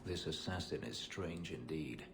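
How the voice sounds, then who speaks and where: An actor playing a pensive companion character in a fantasy video game. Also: male voice, rumbling low, measured pace, very noisy. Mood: puzzled, pensive.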